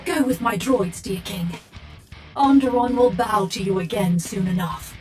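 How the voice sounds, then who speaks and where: A woman's voice: medium pitch, close to an everyday voice. Woman doing a smug cool female villain in a game, mid voice, talks down to you, music behind. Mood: smug menace. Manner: measured, condescending. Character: cool, calculating female villain, smugly in control. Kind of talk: video game character acting. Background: game soundtrack music.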